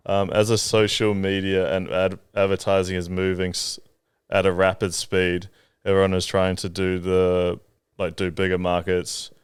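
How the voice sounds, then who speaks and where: A man's voice: deep voice, monotone, normal speed, clean tone, dry recording, clean studio sound. A young man from New Zealand with a Kiwi accent hosting a casual interview, easygoing and loose. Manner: easygoing, asks loose questions. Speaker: podcast host.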